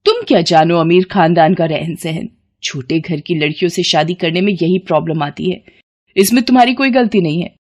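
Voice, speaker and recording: A woman's voice: lowish voice, fairly quick, darkish tone, pitched below the performer's own voice, natural voice. A woman voicing a haughty middle-aged rich mother-in-law who talks in an imperious, cutting, condescending way in a family story. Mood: scornful scolding.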